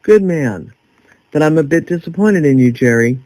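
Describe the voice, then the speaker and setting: A man playing a composed, pragmatic newspaper boss with a measured delivery in an audio drama. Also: on the high side, expressive, darkish tone, close to an everyday voice, poor audio. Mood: composed, dry.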